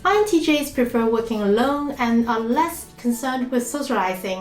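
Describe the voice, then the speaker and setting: A woman's voice: on the high side, echoey room. A woman from Hong Kong giving an earnest, emphatic scripted explainer as a language-learning vlogger. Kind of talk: scripted explainer talk to camera.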